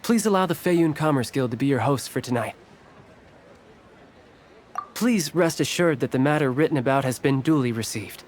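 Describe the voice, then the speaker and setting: A man's voice: medium pitch, animated, normal speed, pauses often, a little hiss or hum, natural voice. An actor voicing a polite, formal male merchant of a trade guild in a video game. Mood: gracious. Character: courteous middle-aged male merchant guild clerk.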